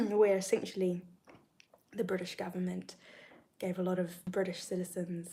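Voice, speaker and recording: A young woman with a New Zealand accent talking casually on a live stream, soft and often half-whispered, with many ums. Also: on the low side. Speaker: spiritual content creator.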